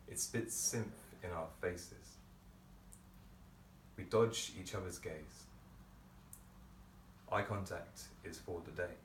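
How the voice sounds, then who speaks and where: A deep-voiced man delivers spoken-word poetry in a theatrical, deliberate way. He is heard from some distance in a very roomy space. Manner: theatrical, deliberate phrasing with pauses. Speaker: poet performer. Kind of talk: dramatic spoken-word poetry monologue performance. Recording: roomy, distant microphone, background noise.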